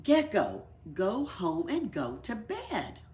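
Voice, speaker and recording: A woman's voice: medium pitch, huge pitch swings, slow pace, a little mellow. A woman voicing a male elephant village boss in a storybook, patient and authoritative though weary. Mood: exasperated.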